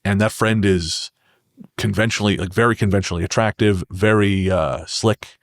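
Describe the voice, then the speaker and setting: A man's voice: fairly low pitch, steady tone, normal speed, no echo, clean studio sound. A man speaking as a podcast guest, telling personal stories in a casual, reflective and wry way. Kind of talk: casual conversational storytelling.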